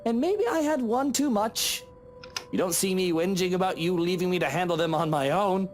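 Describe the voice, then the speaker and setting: An actor playing a sardonic, self-pitying husband who drinks, drawling with mock affection in a visual novel. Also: male voice, sits high, expressive, moderate pace, a little hiss or hum. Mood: sardonic, needling.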